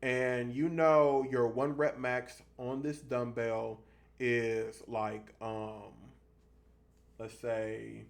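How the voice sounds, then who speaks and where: A man in his thirties giving a casual fitness vlog monologue, explaining things haltingly and repeating points for emphasis. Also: mid-range voice, level tone, creaky. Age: 30s. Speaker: fitness vlogger.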